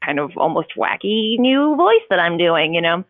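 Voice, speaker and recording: A woman's voice: on the low side, sing-song, moderate pace, no echo. A woman whose first language is Russian, a voice actor and narrator, chatting casually in an interview with plenty of fillers and restarts. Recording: tinny phone-like line.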